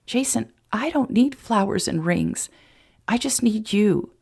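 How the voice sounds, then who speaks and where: A woman's voice: a little high, animated, a little slow, creaky, darkish tone, breathy edge, pitched above the performer's own voice, heavily acted. A woman voicing a tender, emotional, playful woman in love in an audiobook romance, with heartfelt sincerity.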